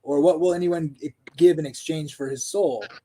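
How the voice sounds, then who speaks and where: A man in casual discussion who reads passages aloud and thinks out loud, halting with fillers. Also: fairly high pitch, steady tone, a little hiss or hum.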